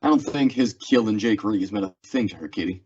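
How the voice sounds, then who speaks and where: A man's voice: on the low side, expressive, fairly quick, a little mellow, a little hiss or hum, lightly acted. A man acting a weary, stoic frontier marshal in an old-time radio western drama. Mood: calm, stoic. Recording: dull, lo-fi audio.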